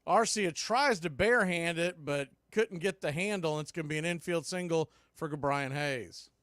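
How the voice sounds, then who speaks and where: A man calling baseball play-by-play in a relaxed, chatty way with wry asides. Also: on the high side, moderate pace, no room sound, studio quality. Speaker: play-by-play announcer.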